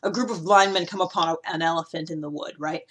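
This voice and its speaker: Chatty, animated woman explaining things. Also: lowish voice, fast. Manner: animated, conversational, emphatic with asides. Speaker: host of a personality-typing video series. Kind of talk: casual explanatory monologue to camera.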